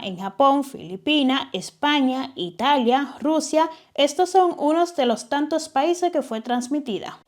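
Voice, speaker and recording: High-voiced Dominican woman, chatty narrator. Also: a little mellow. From Dominican Republic. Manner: conversational, digressive, speaks straight to her audience. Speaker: YouTube channel host. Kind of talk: chatty documentary narration to viewers.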